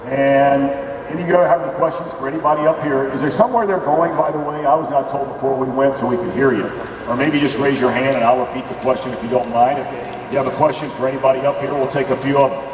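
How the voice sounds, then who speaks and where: Upbeat emcee booming through a vast hangar. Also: male voice, steady tone, fast. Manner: upbeat, rallying announcer delivery. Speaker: event emcee and broadcaster. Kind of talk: introducing a panel to fans. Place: large aircraft museum hangar, indoors. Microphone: distant camera in the audience. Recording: roomy hangar echo, far from mic, crowd noise.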